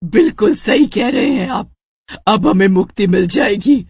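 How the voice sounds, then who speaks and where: A woman's voice: medium pitch, animated, unhurried, a little mellow, breathy edge, dry recording, studio quality, heavily acted. A woman voicing a vengeful, merciless female ghost witch in a horror cartoon, speaking in a commanding, dramatic way. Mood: cold, vindictive. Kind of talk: Hindi horror cartoon character voice.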